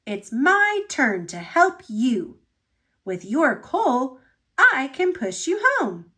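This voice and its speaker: A woman voicing an eager, good-hearted young male tank engine in a children's storybook, with earnest, excited delivery. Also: sits high, takes it slowly, breathy edge, exaggerated voice. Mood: cheerful, helpful.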